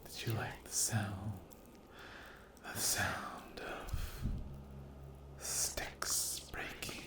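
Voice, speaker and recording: A man's voice: on the low side, steady tone, very slow. A man voicing a cruel, possessive sleep paralysis demon in an ASMR roleplay, speaking in a menacing, condescending, whispery drawl. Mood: taunting, sinister.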